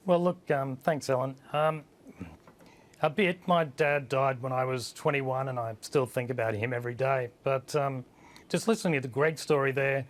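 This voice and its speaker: A man with a husky voice, a guest on a TV panel, plain-spoken and hesitant with many fillers. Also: mid-range voice, fairly even intonation, moderate pace. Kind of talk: live TV panel discussion. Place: remote studio link.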